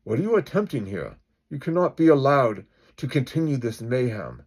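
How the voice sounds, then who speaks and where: A man's voice: medium pitch, sing-song, normal speed, darkish tone, pitched above the performer's own voice. A man playing an indignant, duty-bound police chief who speaks formally and emphatically in an acted-out story. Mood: stern, indignant.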